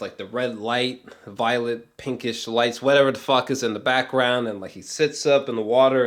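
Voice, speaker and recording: A man talking off the cuff in a casual film review vlog, excitable and emphatic, rambling, repeating himself and swearing often. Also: mid-range voice. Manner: rambling, halting, frequent swearing. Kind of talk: unscripted, casual movie reaction talk.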